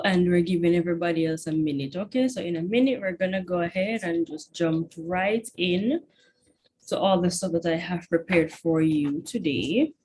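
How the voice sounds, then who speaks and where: A young woman with a Jamaican accent leads a live online chemistry class through her computer mic. Her voice sits a little low, and she talks in a chatty, encouraging way, often stopping to check that her students are with her. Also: a little mellow, slight background noise. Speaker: chemistry tutor. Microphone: computer mic on video call.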